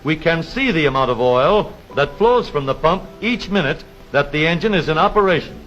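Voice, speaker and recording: A man narrating a scripted 1930s educational film in a measured, formal announcer's delivery with precise, explanatory phrasing. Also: on the high side, lively intonation, fairly bright tone. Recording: dull vintage 1930s film soundtrack, music behind.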